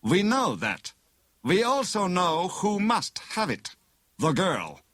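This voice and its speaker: A man voicing a menacing villain in an audiobook, with a sneering, deliberate and formal way of threatening. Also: sits high, huge pitch swings, fairly bright tone, dry recording, clean studio sound, voice pushed up, heavily acted. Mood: angry, demanding. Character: menacing, sneering male villain posing as inspector. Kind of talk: dramatised audiobook dialogue.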